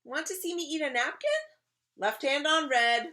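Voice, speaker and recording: A woman voicing a stubborn, boisterous little girl in a children's storybook, excitable and theatrical. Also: on the high side, takes it slowly, pitched above the performer's own voice, exaggerated voice. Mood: eager, showing off. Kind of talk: children's storybook read-aloud.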